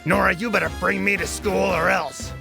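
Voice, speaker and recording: A woman voicing a mean, jealous male toy truck in a children's story, pushy and demanding, with a gravelly voice. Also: medium pitch, animated, brisk. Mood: threatening. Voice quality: gravelly, growly. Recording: music behind the voice.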